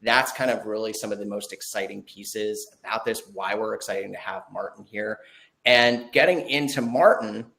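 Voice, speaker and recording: A man's voice: on the low side, normal speed, vocal fry, pushed and bright, low-quality recording. A man hosting an online webinar, giving housekeeping notes and introducing the guest in a casual way with many fillers. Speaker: webinar host. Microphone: video call.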